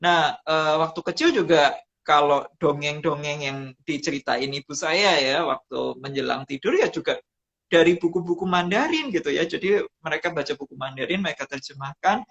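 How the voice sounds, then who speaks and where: A man from Java, Indonesia, talking as the guest in a live-streamed interview, telling stories reflectively and pausing often on hesitant "eh" sounds between phrases. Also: on the high side, creaky, a bit bright, lo-fi sound. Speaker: guest, writer and photographer. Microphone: video call.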